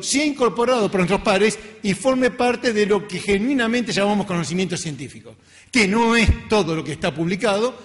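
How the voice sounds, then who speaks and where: A middle-aged man with a Latin American accent giving an informal academic talk in a conversational, self-deprecating way. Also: high voice. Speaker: research scientist giving a lecture. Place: lecture hall. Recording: reverberant hall.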